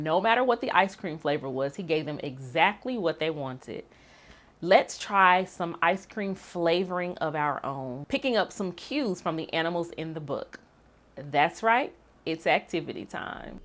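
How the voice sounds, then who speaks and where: A woman reading aloud and hosting for children, expressive and speaking directly to young listeners. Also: low-pitched. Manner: animated, addressing young listeners. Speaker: children's story reader and activity host.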